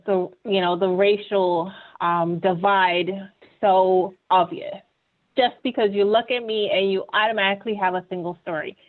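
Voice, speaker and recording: A woman speaks in an earnest, conversational way, often tagging her points with "right?". Her voice sits at an ordinary middle pitch. It comes through narrow, tinny video call audio. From Ghana. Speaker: webinar panelist. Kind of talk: conversational presentation.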